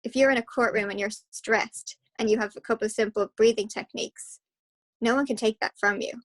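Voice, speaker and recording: Passionate Irish woman, slightly high voice. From Ireland. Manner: passionate and animated, with quick restarts. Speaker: guest hypnotherapist. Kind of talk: casual interview talk.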